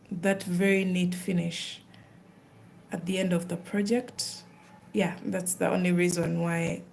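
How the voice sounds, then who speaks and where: A woman's voice: lowish voice, vocal fry, a little mellow, a little hiss or hum. A woman with a Ugandan accent, chatting casually on a live stream while she does craft work.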